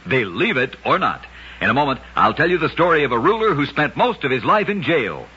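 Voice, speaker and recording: Old radio guy telling weird true stories, raspy sharp voice, bouncy delivery, lo-fi sound. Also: medium pitch, very animated. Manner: brisk, emphatic storytelling with punchy catchphrase endings. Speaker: radio host and storyteller. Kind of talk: scripted old-time radio narration. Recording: lo-fi vintage radio recording.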